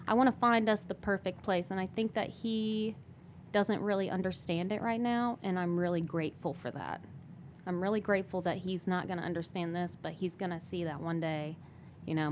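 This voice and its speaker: A woman, a guest on a podcast, talking casually and softly with many fillers and restarts. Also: on the low side, a little hiss or hum. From Michigan. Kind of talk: casual interview talk.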